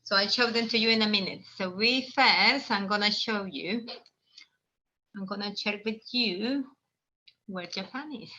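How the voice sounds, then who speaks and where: A woman's voice: medium pitch, unhurried, sharp tone, highs cut off, a little hiss or hum. A woman from El Salvador hosting a live online origami lesson, explaining in a friendly, meandering way with restarts. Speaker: workshop host.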